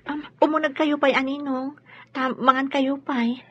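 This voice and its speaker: An actress playing an anxious, plaintive wife in a radio drama. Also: female voice, sits high, level tone, moderate pace, dry recording, lightly acted. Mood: relieved, reproachful. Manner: worried, plaintive. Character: anxious, fretful wife waiting for straying husband. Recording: muffled lo-fi radio drama with music.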